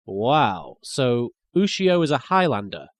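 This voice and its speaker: A man's voice: medium pitch, big pitch swings, takes it slowly, dry recording. A man narrating a scripted comic review as a sarcastic reviewer, with mock-incredulous asides.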